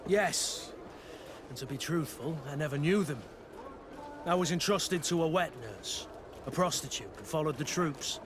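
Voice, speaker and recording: Game casting: solemn veteran soldier, slightly high voice, earnest and burdened, outdoor noise behind. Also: male voice, animated, a little slow. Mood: candid, reflective. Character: betrayed, regretful soldier mentor of the Guard. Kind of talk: dramatic video game dialogue.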